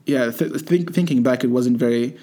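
A man's voice: medium pitch, moderate pace. Hesitant young man from Egypt. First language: Arabic. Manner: hesitant, many fillers and restarts, laughs softly. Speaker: interviewee, student. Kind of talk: casual conversational interview.

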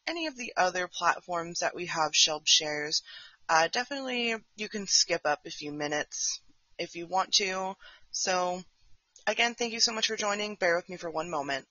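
Woman podcast host, chatty and apologetic, smoke-roughened voice, dull dry recording. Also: on the low side, bright, forceful tone, no echo. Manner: chatty, apologetic, with frequent fillers. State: voice affected by wildfire smoke. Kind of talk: casual live-stream chat.

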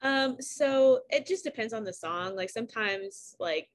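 A woman with a dark, rich tone, talking casually and modestly as an interviewed singer, often saying "like". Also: on the high side, expressive, a little slow, slight background noise. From Southeast Texas, US. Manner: informal, self-deprecating, frequent "like". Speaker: singer and pianist in a sister duo.

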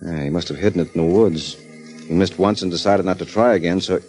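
Low-voiced, wry radio detective played by a man. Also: fast, darkish tone, soft background music, natural voice. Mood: alert, excited. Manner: wry, smooth, explains deductions to a woman he teases. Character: suave, wry male private detective, cool and teasing. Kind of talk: 1940s radio drama acting.

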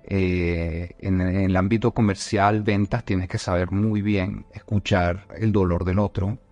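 A man's voice: low-pitched, steady tone, a little slow, a little mellow, dull audio, faint music behind. A man from Venezuela, a leadership coach, talking reflectively as a podcast guest, with frequent restarts and fillers. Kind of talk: conversational podcast interview.

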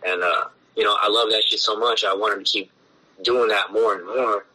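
Forceful low-voiced man, rambling casually. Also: fairly even intonation, normal speed, breathy edge, poor audio. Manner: loose and rambling, heavy swearing and filler words. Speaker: punk band bassist, interview guest. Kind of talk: casual podcast conversation.

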